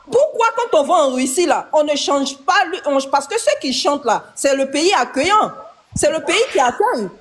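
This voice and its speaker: A woman's voice: high voice, very animated, normal speed. A woman with an Ivorian accent interjects in an informal debate, putting her questions repeatedly. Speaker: panel debater.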